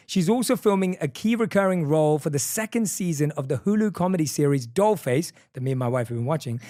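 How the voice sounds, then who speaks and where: A man's voice: fairly high pitch, a little mellow, no room sound, clean studio sound. A man with a British accent hosting a podcast, reading a guest intro and asking curious follow-up questions. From Britain. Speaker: podcast host. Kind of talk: podcast conversation.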